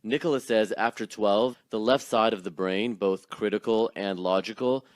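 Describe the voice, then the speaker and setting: A man reading scripted documentary narration in complete, measured sentences. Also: on the low side. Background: music.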